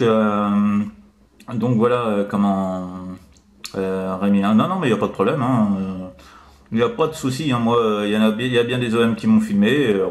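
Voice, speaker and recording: A man chatting casually as a radio operator, in a halting, repetitive way full of verbal tics. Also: on the low side, roomy sound. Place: indoors in an apartment by a window.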